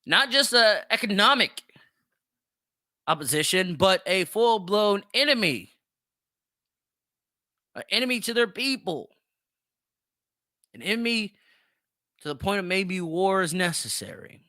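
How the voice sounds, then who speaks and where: A man's voice: high-pitched, animated, a little slow, long pauses, bright, forceful tone, dry recording, studio quality. A man hosting a podcast of opinionated solo commentary, speaking emphatically with plenty of swearing and repeating himself for emphasis. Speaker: podcast host.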